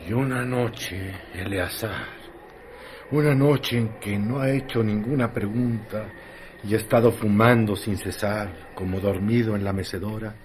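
Slow, deep-voiced man narrating. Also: darkish tone, slight background noise. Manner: slow, lyrical. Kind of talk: literary radio drama narration.

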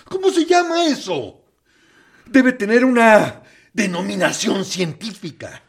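An actor in a radio drama playing a tormented torturer captain, confessing with anguish and swinging from hushed to loud. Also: male voice, high-pitched, lively intonation, slow pace, creaky, husky, exaggerated voice. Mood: anguished confession.

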